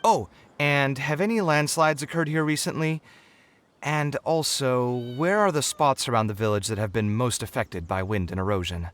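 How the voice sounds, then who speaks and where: An actor voicing a young male architect in a game, earnest and meticulous, with brisk businesslike planning talk. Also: medium pitch, slight background noise, lightly acted. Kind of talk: game voice acting. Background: game music.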